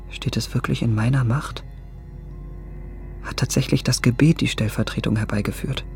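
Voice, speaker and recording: An actor playing a sensitive, self-absorbed young gentleman in a German radio drama, murmuring and introspective. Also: medium pitch, creaky. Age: young adult.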